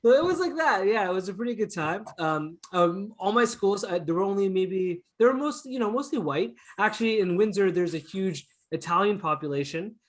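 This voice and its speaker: A man with a Canadian accent, chatting casually and telling stories as an interview guest, easygoing and quick to chuckle. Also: sits high, lively intonation. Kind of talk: casual conversational storytelling.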